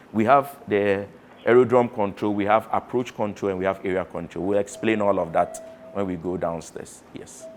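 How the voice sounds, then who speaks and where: Male instructor, lowish register, emphatic explainer addressing students, noisy location sound. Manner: emphatic, instructive, checks understanding with tag questions. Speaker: air traffic controller giving a tour. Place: air traffic control tower.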